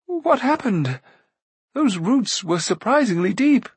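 Man doing a high, blithe young prince. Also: sing-song, takes it slowly, slightly rough, no room sound, exaggerated voice. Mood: dazed. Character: hapless, cheerful, naive young prince.

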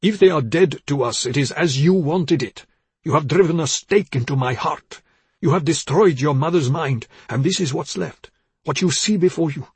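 A man's voice: on the high side, moderate pace, husky, dry recording, voice pushed up. A man playing a stern, bitter elderly father in a story, commanding and accusatory. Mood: bitter, accusing.

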